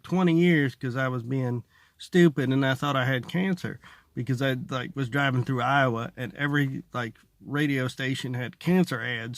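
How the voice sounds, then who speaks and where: Creaky-voiced man rambling with dry sarcasm. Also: medium pitch, dry recording. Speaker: stand-up comedian, vlogger. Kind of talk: casual comedic monologue to camera. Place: inside a parked car.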